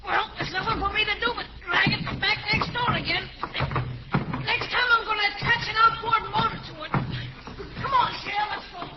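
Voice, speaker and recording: A woman's voice: very high voice, steady tone, normal speed. An actress playing an exasperated, put-upon woman grumbling to herself in an old radio comedy. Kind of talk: 1940s radio comedy acting. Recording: noisy muffled old radio recording.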